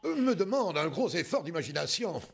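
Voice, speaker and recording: An actor playing an excitable, skeptical, greedy cartoon professor, hesitant and theatrical. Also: male voice, fairly high pitch, normal speed, creaky, a little hiss or hum. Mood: amused disbelief. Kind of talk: cartoon dub acting.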